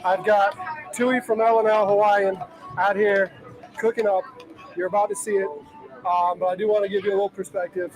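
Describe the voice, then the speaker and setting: A man hosts a live show from an outdoor event in an upbeat, conversational way. His voice sits high. Music plays clearly behind him. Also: little pitch movement, normal speed, low-quality recording. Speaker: host.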